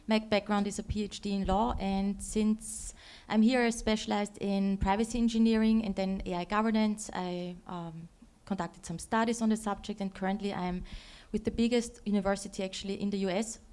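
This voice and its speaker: Woman, halting thoughtful panelist. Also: mid-range voice, steady tone, a little mellow, smooth. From Austria. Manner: thoughtful, self-correcting, pauses to search for words. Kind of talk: conference panel discussion. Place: conference stage.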